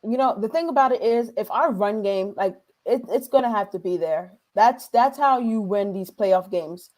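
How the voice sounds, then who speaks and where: A chatty woman co-host walks through football strategy in a relaxed, conversational way, at a middle pitch. She often restarts and trails off mid-sentence. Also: moderate pace. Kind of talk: casual sports talk.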